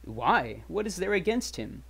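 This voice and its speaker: An eager, forthright gentleman veteran from a storybook, acted by a male reader. His questions are direct and formal, delivered emphatically with widely swinging pitch. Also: big pitch swings, unhurried, creaky, husky, full character voice. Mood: eager, curious. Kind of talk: dramatic audiobook reading.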